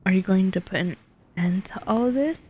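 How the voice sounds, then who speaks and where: A woman's voice: medium pitch, a little fast, mellow, slight background noise. A woman streamer giving casual reaction commentary as she plays a video game.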